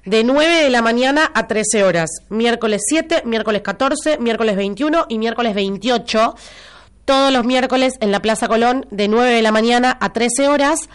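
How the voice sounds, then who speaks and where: A woman's voice: on the high side, normal speed, smooth. A woman with an Argentine accent hosting a local radio show, chatty and teasing, with a stuffy nose from a cold. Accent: Rioplatense Argentine Spanish. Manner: chatty, teasing, repeats information for listeners. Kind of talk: casual live radio chat with listener messages.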